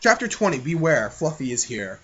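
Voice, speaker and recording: Male podcast host, high voice with big swings, giggly and sweary, reading aloud between casual asides; muffled recording. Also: very animated, normal speed, heavy background noise. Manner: giggly, self-mocking, foul-mouthed. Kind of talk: reading own childhood fan fiction aloud with casual banter.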